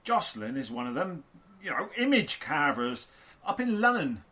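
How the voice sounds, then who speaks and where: A man plays an older villager in an audio drama, gossipy and knowing. His voice is high and bright, leaping around in pitch as he chats in rustic dialect with deferential familiarity. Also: very animated, moderate pace.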